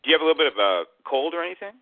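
A man's voice: fairly high pitch, big pitch swings, racing, dry recording. A man hosting a chat in a curious way, asking lots of questions. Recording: dry narrow phone line.

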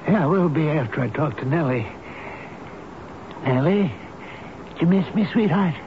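An actor playing a gentle, loyal old elevator operator in a radio drama, speaking in a halting, earnest, folksy and tender way. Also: male voice, fairly high pitch, expressive. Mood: tender, wistful. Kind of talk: 1970s radio drama acting. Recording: noisy muffled old radio broadcast recording.

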